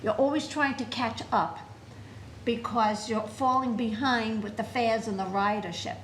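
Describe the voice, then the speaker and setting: An older woman with a New York accent speaking at a city committee meeting, halting, with many fillers and restarts. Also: on the high side, fairly even intonation, fairly bright tone, a little hiss or hum, poor audio. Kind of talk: public meeting discussion.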